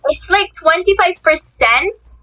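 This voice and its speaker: Teen girl from the Philippines, soft and hesitant, high bright tone, sits back from the mic. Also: lively intonation, slow pace, distant mic, poor audio. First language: Filipino. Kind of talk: casual group call chat.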